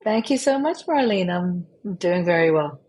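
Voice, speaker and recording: A husky-voiced woman from Israel and Switzerland speaks as a podcast guest. She thinks aloud, repeating words and pausing as she finds her phrasing. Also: fairly low pitch, animated, a little mellow. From born in Israel, raised in Switzerland. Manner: thoughtful, with frequent repeated words. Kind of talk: casual interview.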